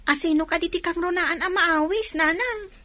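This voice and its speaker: A woman's voice: very high-pitched, normal speed, bright, forceful tone, no room sound. A woman playing a chirpy, curious young girl in a radio drama, with a Filipino Ilocano accent.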